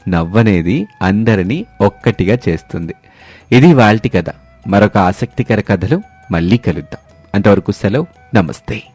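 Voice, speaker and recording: A man's voice: on the low side, darkish tone, slightly rough, no room sound. Male storyteller, dry sound over music. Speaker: storyteller, narrator. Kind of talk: scripted moral story narration. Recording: dry studio, music behind.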